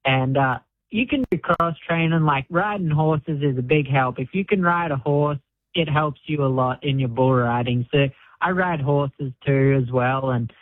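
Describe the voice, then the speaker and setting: A man in his twenties with an Australian accent, a guest talking in a relaxed, modest way with many fillers over the phone. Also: medium pitch, a little slow, dry recording. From Queensland, Australia. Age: 20s. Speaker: guest bull rider. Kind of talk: phone interview on radio. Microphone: phone line. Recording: tinny phone line.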